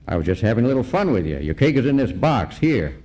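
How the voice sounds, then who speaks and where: An actor playing a wry, laconic private detective in a comic radio noir parody. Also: male voice, medium pitch, quick pace, lightly acted. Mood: amused. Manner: deadpan, laconic. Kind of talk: comic old-time radio noir acting. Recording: noisy live stage recording.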